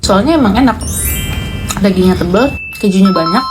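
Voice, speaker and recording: Female food reviewer, average pitch, casual delivery, music clearly underneath. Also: expressive, moderate pace. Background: prominent music.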